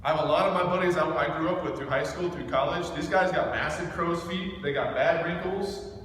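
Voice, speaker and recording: A man's voice: on the high side, brisk, a bit bright. A man in his thirties leads an informal team training with intense, direct emphasis. He pushes his points with rhetorical questions. The sound is very echoey and distant, with a long reverb. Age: thirty-seven. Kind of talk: informal motivational training talk to a group. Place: echoing indoor room with a small group. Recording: echoey room recorded from far off, faint noise.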